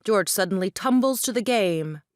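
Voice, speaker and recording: A woman's voice: mid-range voice, lively intonation, normal speed, no echo, clean studio sound. A woman with a Scottish accent reading scripted stage directions as narrator.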